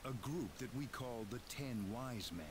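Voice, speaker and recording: Man voicing a courteous, solemn mayor, expressive delivery. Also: medium pitch, background noise, natural voice. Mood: calm, solemn. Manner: measured, formal, courteous. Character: calm, courteous male mayor, a mysterious guide. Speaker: video game voice actor. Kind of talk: scripted video game voice acting. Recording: game music behind the voice.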